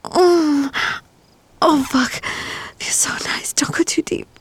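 Breathy, whispering woman in intimate erotic audio. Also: sits high, steady tone, takes it slowly. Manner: breathy whispering broken by moans and gasps. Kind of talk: intimate erotic audio roleplay, addressed to a partner.

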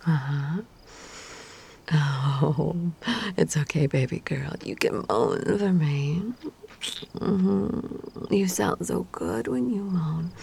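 Slow, whispering woman with a gravelly edge. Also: sits low, slight background noise. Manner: soft breathy whispering with teasing murmurs. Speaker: audio roleplay performer. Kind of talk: whispered intimate audio roleplay.

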